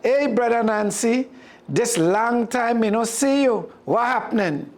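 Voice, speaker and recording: A storyteller puts on a friendly, gullible male mongoose from a storybook. He speaks excitable Jamaican patois, with pitch raised well up. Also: unhurried, lightly acted.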